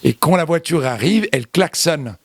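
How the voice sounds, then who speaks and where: A man from Europe giving unscripted interview answers as a guest, haltingly, often repeating words and phrases. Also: on the high side, animated, gravelly, no room sound. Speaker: interview guest, visitor to Burundi.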